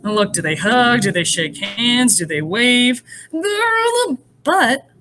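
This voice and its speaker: A woman speaking as a first-grade teacher, reading and explaining to young children in an animated, encouraging way. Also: on the high side, a little slow, noisy background. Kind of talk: read-aloud and lesson addressed to young children.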